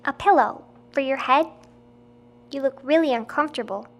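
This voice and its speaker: A woman's voice: sits high, moderate pace, lightly acted. An actress playing a nine-year-old girl who chats earnestly and openly in a childlike way.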